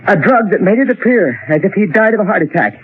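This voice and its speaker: Frantic pleading man, high pitch, animated swings, agitated, insistent, vintage radio drama, lo-fi narrow sound. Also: quick pace. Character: frantic, desperate man insisting he witnessed an alien plot. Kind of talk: old-time radio drama acting. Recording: muffled, lo-fi old radio recording.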